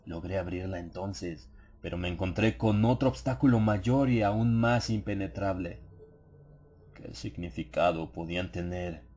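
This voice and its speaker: A man reading a horror story as an audiobook narrator, in a measured, solemn, dramatized literary delivery. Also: sits low, dark tone, a little hiss or hum.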